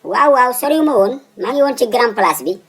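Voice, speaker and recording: Casting: stubborn old Senegalese cartoon dad, very high husky voice, melodramatic, repeats himself. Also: male voice, lively intonation, brisk, fairly bright tone, full character voice, rough recording. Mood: cheerful, bossy. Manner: exclamatory, repeats words emphatically. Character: fussy, pleading, stubborn old father, comic and dramatic. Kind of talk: comic cartoon dubbing.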